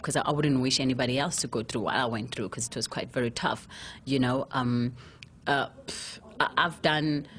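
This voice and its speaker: A young woman from South Africa, a fashion model, telling her life story candidly in a casual interview, with a deep voice and many "you know" asides. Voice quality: deep, low voice.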